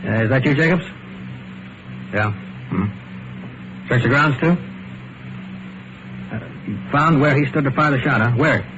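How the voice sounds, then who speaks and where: A muffled vintage radio recording with clear noise carries an actor as a police investigator. He is a man of medium pitch, methodical and skeptical, probing in a halting, businesslike way. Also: close to an everyday voice. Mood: intent. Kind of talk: old-time radio mystery acting.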